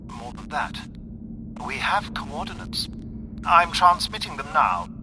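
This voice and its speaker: An actor playing a formal, businesslike cardinal in a science fiction audio drama. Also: male voice, fairly high pitch, big pitch swings, slow pace, noisy background. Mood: grave, businesslike. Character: formal, businesslike male alien cardinal reporting intelligence.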